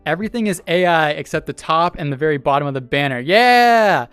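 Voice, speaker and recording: A man's voice: fairly high pitch, lively intonation, brisk, fairly bright tone, clean tone, no room sound. Chatty man streamer with a radio voice. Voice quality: voice people call made for radio and sales. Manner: chatty, laughs often, repeats himself. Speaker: streamer and podcast host. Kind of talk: casual livestream chat with viewers. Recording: dry close mic with faint music behind.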